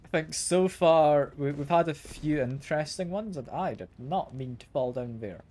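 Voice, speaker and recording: A man's voice: on the high side, normal speed, dark tone. A man streaming a video game, slightly ill and congested, chatting casually and often repeating words as he plays. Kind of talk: casual gameplay commentary. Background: game music.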